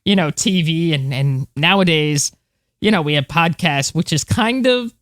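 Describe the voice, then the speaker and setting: A woman's voice: low-pitched, lively intonation, normal speed, no room sound, clean studio sound. An American woman co-hosting a podcast, chatting casually in an informal, rambling, self-correcting way. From United States. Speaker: podcast co-host. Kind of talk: casual chat.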